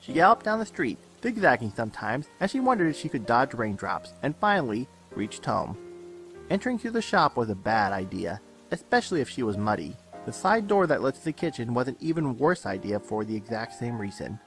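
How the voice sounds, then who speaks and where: Animated man narrating a story. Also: medium pitch, brisk. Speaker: audiobook-style reader of fan fiction. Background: background music.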